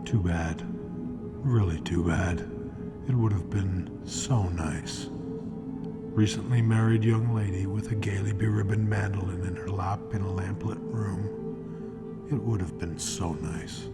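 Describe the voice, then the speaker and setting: An actor playing a sardonic, knowing narrator of true-crime tales in old-time radio style. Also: male voice, fairly low pitch, fairly even intonation, measured pace, over loud music, natural voice. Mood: dry, ominous.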